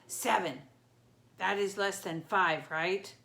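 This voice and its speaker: A woman voicing a huge, half-blind male Cyclops who is impatient and hungry, bellowing demands and murmuring low. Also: takes it slowly, vocal fry, fairly bright tone. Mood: demanding.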